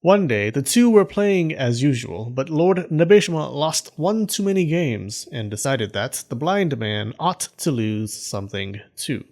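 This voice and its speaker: A man narrating a scripted folktale in a humorous storytelling style, with deadpan joking asides. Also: on the high side, unhurried, few pauses. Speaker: storyteller, channel host.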